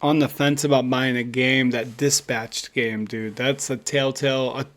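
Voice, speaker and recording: A man co-hosting a podcast, chatting casually in an excitable, slangy and emphatic way. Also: medium pitch, unhurried. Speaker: podcast co-host. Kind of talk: casual chat about video games and films.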